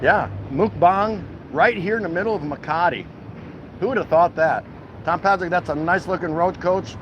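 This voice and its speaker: A man with a somewhat high voice and an American accent talks in a loose, colloquial way, like he is livestreaming to regular viewers. He is folksy and jokey, and faint street noise sits behind him outdoors. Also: normal speed, muffled recording. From Milwaukee, United States. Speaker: walking vlog host. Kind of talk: casual livestream chat to viewers. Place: outdoors at a city food truck.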